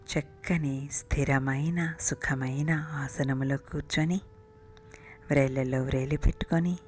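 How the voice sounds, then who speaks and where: Very low-voiced woman guiding meditation in soft breaths. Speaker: meditation guide. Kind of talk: guided meditation narration. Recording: music bed behind the voice. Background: meditation music.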